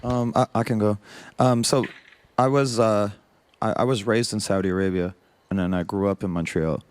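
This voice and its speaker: A man's voice: fairly low pitch, fairly even intonation, moderate pace. A man speaking as a DJ on a live panel, earnest and given to small laughs as he tells stories. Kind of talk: panel discussion.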